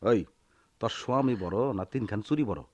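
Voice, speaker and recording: An actor playing a bullying, aggrieved village husband with a rural Bangladeshi accent, scolding and taunting in a radio drama style. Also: male voice, lowish voice, expressive, a little fast, a little mellow, natural voice. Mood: threatening. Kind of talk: Bengali radio drama acting.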